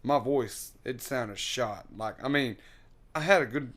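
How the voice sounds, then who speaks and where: A man talking as an interview guest in a relaxed, rambling way, with lots of fillers and frequent laughs. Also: mid-range voice, a little slow. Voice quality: loud singing voice. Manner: laughs often. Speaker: interview guest, singer and guitarist. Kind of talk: casual interview chat.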